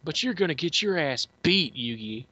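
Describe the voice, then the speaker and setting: A man's voice: on the high side, sing-song, moderate pace, sharp tone, husky, no room sound. A man co-hosting a casual fan movie commentary, joking crudely and swearing freely. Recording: dry, dull lo-fi home mic.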